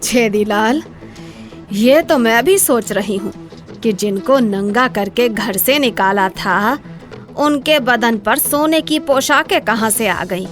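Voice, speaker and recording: A woman voicing a cruel, scheming stepmother in an animated Hindi moral story, sneering and bossy. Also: fairly high pitch, slow, soft background music. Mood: plotting. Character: cruel, spiteful stepmother and mother-in-law, scornful schemer.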